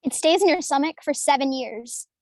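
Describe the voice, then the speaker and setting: A woman's voice: high-pitched, normal speed, a little mellow, close to an everyday voice. A woman playing a blunt, skeptical, bickering young girl at a table read of a film script.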